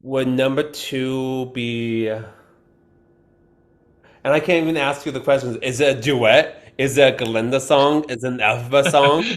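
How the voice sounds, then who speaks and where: A man joins a podcast as a guest and talks things through out loud. His delivery is casual and eager, and he muses as he goes. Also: medium pitch, fairly even intonation, unhurried. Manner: thinks aloud, eager, musical fan. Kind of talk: casual chat.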